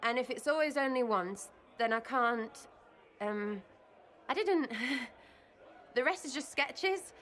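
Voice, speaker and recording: A cheeky young elf woman from a fantasy video game, with an English accent. Her voice is forward and sharp, and she takes her time, stumbling playfully through slangy, heartfelt words. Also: on the high side, animated, slow. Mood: nervous, heartfelt. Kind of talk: video game cutscene dialogue.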